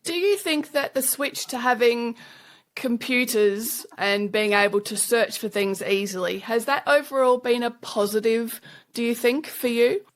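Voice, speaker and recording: A woman hosts a casual interview, her voice sitting slightly high. She asks curious questions in a relaxed, gently amused manner. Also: measured pace. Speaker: podcast host interviewer.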